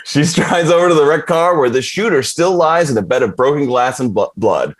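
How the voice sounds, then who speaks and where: Animated man reading screenplay directions. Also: a little high, a little fast. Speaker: stage-direction reader. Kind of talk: animated scripted narration at a table read.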